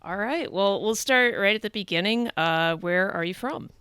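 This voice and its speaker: A woman's voice: mid-range voice, normal speed, bright, forceful tone, clean tone, no echo, clean studio sound. A woman hosting a podcast, chatty and encouraging as she interviews her guest. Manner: chatty and encouraging, with frequent fillers and laughs. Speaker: podcast host, Navy reservist. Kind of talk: casual interview conversation.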